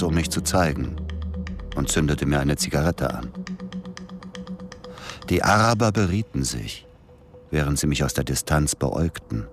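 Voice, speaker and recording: A very low-pitched tough male operative tells his story in a radio drama. He narrates soberly and with measured delivery over loud music. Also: gravelly, exaggerated voice. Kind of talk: first-person radio play narration.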